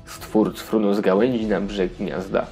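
A man's voice: fairly low pitch, little pitch movement, measured pace, over music. A man narrating a scripted fairy tale for children as the storyteller of an audio play.

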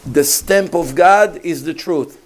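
Guy preaching, slightly high voice, keeps firing questions at the crowd. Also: slow pace. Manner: emphatic, rhetorical questions to the audience. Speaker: rabbi giving a talk. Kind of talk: sermon.

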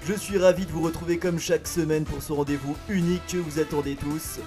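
A man's voice: on the high side, fairly even intonation, brisk, music in the background, lightly acted. A man playing a flustered, pompous radio talent-show host who stumbles through his lines with many filler sounds. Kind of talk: comedy radio sketch.

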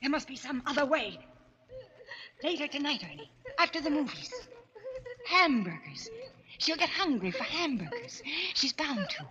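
A woman's voice: high-pitched, big pitch swings, normal speed, pauses often, sharp tone, exaggerated voice. An actress playing a scheming, domineering mistress who urges her lover to murder, theatrical and commanding in 1940s radio mystery style. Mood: feverish, plotting. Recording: muffled old radio recording, long reverb, faint noise.

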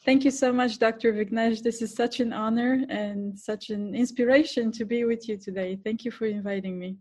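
A woman's voice: a little high, steady tone, normal speed, darkish tone. A middle-aged woman with a Bulgarian accent, a podcast guest telling her story earnestly, with fillers and restarts. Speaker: podcast guest, cooking teacher and restaurant owner. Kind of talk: podcast interview.

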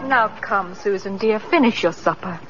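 An actress playing a gentle, reassuring mother in a 1940s radio drama. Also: medium pitch, moderate pace, soft background music, lightly acted. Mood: gentle, soothing. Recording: dull old radio recording.

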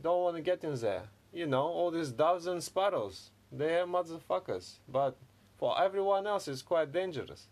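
A man playing a tough Russian hustler in improvised comedy, with a Russian accent, broken English and a deadpan, threatening manner. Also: a little high, moderate pace. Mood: boastful.

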